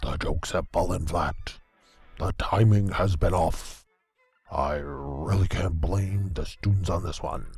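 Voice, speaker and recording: Man acting a slow, clear, deadpan radio host. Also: deep voice, dry recording, faint music behind, exaggerated voice. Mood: deadpan, measured. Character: deadpan male small-town radio host narrating cosmic horror like sport. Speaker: co-host and commentator. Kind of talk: mock play-by-play commentary in audio comedy.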